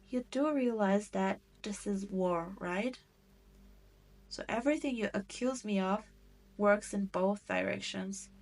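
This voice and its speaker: A woman's voice: medium pitch, gravelly, a little mellow. A woman voicing a cruel, haughty demon queen in a roleplay audio drama, with a teasing, condescending manner. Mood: dismissive, exasperated. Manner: slow, teasing, condescending drawl with theatrical emphasis.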